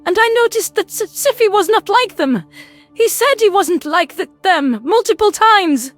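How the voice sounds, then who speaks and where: An extremely high-pitched, tiny female rodent alien for a sci-fi audio drama. She stammers in agitation, frightened and indignant, her voice swooping up and down over background music. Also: expressive, normal speed, no room sound. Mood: tearful indignation.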